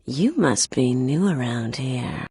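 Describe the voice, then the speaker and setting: A seductive tavern dancer from a fantasy game. Her voice is low and extremely husky with a creaky edge, lingering over words with vain, flirtatious confidence. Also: lively intonation, takes it slowly, darkish tone, no room sound, heavily acted. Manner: breathy, lingering seductive delivery. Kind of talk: video game character acting.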